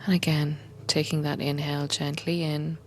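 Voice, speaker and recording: A woman guiding a meditation in a gentle, unhurried, soothing way, often softening toward a breathy whisper. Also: low voice, flat delivery, slight background noise. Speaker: meditation guide and coach, podcast host. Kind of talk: guided meditation, soothing slow instruction.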